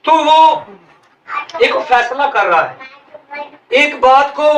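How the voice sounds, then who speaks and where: High-voiced pastor preaching with Pakistani Urdu accent. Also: male voice, sharp tone, a little hiss or hum. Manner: preaching, drawn-out emphatic phrases. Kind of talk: sermon. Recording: echoey room, voice from some distance.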